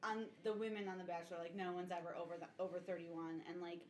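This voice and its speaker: A woman's voice: fairly low pitch, flat delivery, quick pace. A woman chatting casually as a podcast panelist, giving opinions in an informal, wry way. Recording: roomy echo, faint background noise.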